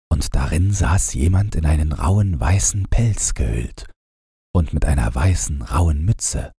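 A man narrating a bedtime fairy tale from a script in a calm, measured, gentle way. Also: extremely low pitch, slightly rough, dry recording, clean studio sound. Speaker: audiobook narrator.

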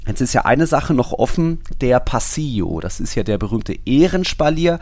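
A man's voice: mid-range voice, expressive, moderate pace, a little mellow, no room sound. A man explaining things in casual podcast conversation, in loose, rambling sentences. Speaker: podcast co-host.

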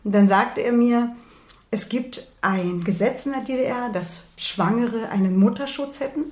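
A woman in her sixties from Berlin, a retired nurse and author, telling her life story in a calm, matter-of-fact way. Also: fairly high pitch, moderate pace. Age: 60s. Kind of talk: personal life story in conversation. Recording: roomy echo.

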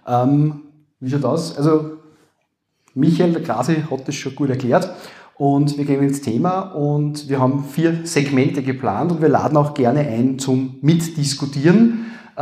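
A man with an Upper Austrian accent, a lawyer speaking live to an audience, making dry joking asides that trail off low. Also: a little slow, warm tone. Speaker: lawyer and comedy panelist. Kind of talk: live stage talk with jokes. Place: university hall before a live audience. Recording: echoey room.

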